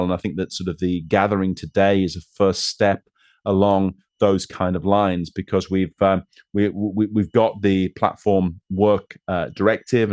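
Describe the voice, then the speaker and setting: A man giving policy remarks at a conference, halting and full of fillers and restarts. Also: low-pitched, a little mellow, dry recording, studio quality. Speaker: panel speaker.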